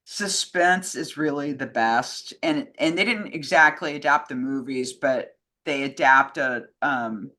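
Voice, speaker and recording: A woman's voice: a bass voice, unhurried, hardly any pauses, bright, forceful tone. A woman in casual film and radio chat, informal and opinionated, rambling with lots of "like". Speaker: co-host answering fan questions.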